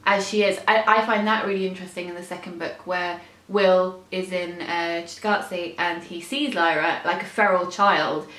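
A woman's voice: on the low side, steady tone, normal speed, few pauses, a bit bright. A young woman in a casual, chatty book discussion, now and then voicing quoted thoughts. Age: young adult. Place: indoor room lined with bookshelves. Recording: roomy echo.

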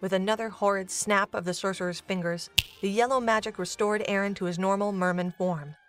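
A woman's voice: medium pitch, fairly even intonation, brisk. A woman narrating a scripted dramatic story as the narrator of an audio drama. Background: music.

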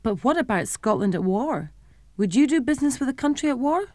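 Young woman, schools TV presenter, clear simple explaining, somewhat high voice. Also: sing-song, brisk, a little mellow, no echo.

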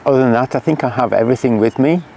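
A man talking casually to the camera from a lakeside campsite, unhurried and hesitant, with many fillers. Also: medium pitch, creaky, dark tone, slight background noise. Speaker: cycling vlogger. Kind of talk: casual outdoor vlog. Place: lakeshore campsite outdoors by mountains. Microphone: camera at a distance.

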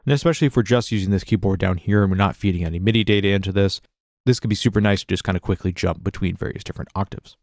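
A man narrating a software tutorial as an instructor, in a casual, conversational, explanatory manner, close to the mic. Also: deep voice, racing, dry recording. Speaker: music production tutorial instructor.

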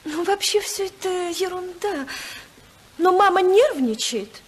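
An actress playing an anxious, naive younger sister from high society in a 1950s radio play. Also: female voice, expressive, measured pace. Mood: nervous, hesitant. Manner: fretful, hesitant. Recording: 1955 radio drama recording.

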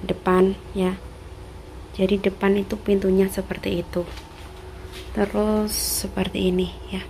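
A woman's voice: medium pitch, little pitch movement, a little slow, creaky, mellow, slight background noise, low-quality recording. A young woman from Indonesia giving a casual house tour of her employer's apartment, filming on a handheld phone as she walks from room to room, often dropping to a near whisper. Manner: chatty, repeats "seven seperti ini", often drops to a whisper. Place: apartment in Taiwan, indoors. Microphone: handheld phone filming while walking.

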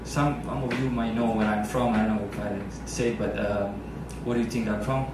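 A man's voice: on the low side, steady tone, a little fast. A man in his thirties from Naples with an Italian accent, a pianist entertainer bantering and quizzing his audience on stage with a handheld mic. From Naples, Italy. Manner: joking, chatty, quizzes the crowd. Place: cruise ship lounge stage, large room. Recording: echoey lounge from far off, audience noise.

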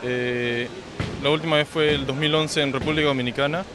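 A young man with a Peruvian accent, an athlete answering an interview in halting, tentative phrases. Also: a little high, fairly even intonation, music in the background. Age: young adult. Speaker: bowling athlete. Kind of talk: on-camera interview. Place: large indoor bowling alley. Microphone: handheld interview microphone. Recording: noisy bowling alley background.

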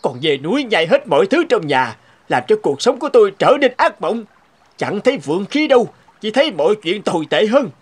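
A man's voice: high voice, big pitch swings, a little fast, breathy edge, exaggerated voice. A man voicing a middle-aged, hot-tempered, gullible peasant husband in a folktale cartoon, exclaiming and grumbling. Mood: dismayed. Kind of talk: animated folktale cartoon dubbing. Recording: background music under dialogue.

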